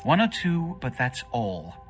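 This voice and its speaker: A man playing an authoritative, aloof vampire leader in a video game, speaking in a haughty, measured, formal way. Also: medium pitch, huge pitch swings, faint music behind. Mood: cold, superior. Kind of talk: video game dialogue acting.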